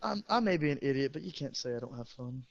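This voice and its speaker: A teenage man, a high school football player, casually telling crude, joking, rambling stories to a friend. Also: lively intonation, quick pace, creaky, dry recording, muffled recording.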